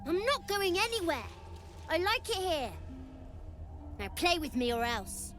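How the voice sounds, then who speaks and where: A demanding little boy from a fantasy game, with a piping, very high voice. He is bratty, insistent and slightly eerie, his lines lively in pitch over faint music. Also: unhurried, heavily acted. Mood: stubborn, menacing. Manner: petulant, insistent, childlike. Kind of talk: video game character acting.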